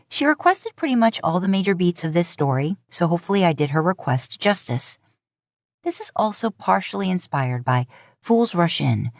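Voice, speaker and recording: A woman narrating scripted romantic fiction in a measured, expressive storytelling manner. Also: sits low, gravelly, no echo, clean studio sound. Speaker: podfic narrator.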